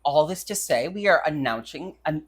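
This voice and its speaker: Woman podcast host, low husky voice, very animated intonation, stammering restarts, wry gossipy chat. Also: normal speed. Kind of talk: casual chat.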